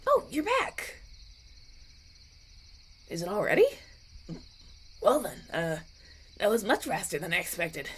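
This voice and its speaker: A man's voice: sits high, huge pitch swings, a little slow, pauses often, a bit bright, slightly rough, full character voice. A man playing a polite, anxious young runaway prince in an audio roleplay, stammering and hesitant as he talks. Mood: nervous, eager. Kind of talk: ASMR audio roleplay, acted one-sided dialogue.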